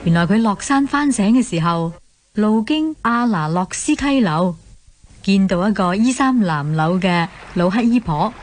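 A woman's voice: medium pitch, lively intonation, measured pace, no echo, faint music behind. A woman with a Hong Kong Cantonese accent narrating a scripted myth story for a radio drama.

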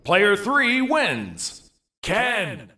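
Bombastic high-pitched game announcer. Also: male voice, wildly swooping pitch, sharp tone, roomy sound, far from the mic, full character voice. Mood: bombastic, hyped. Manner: theatrical, drawn-out, emphatic delivery. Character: bombastic, hyped male fighting-game arena announcer. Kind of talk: fighting game announcer calls, short and dramatic.

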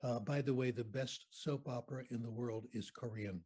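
An older American man, a guest on a video call interview, telling anecdotes in an animated, conversational way from a home room. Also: medium pitch, normal speed, breathy edge. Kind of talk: storytelling lecture on a video call. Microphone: video call webcam.

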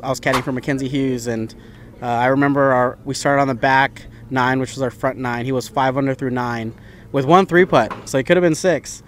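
A young adult man born in the Philippines, a professional golf caddie answering interview questions in a relaxed, rambling way. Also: mid-range voice, fairly quick, a little hiss or hum. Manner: relaxed, talks in loose run-on phrases with fillers. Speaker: PGA Tour caddie, guest.

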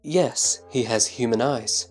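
A man playing a reverent disciple who answers his master in respectful, measured scripture recitation. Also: medium pitch, faint music behind. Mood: reverent, assured.

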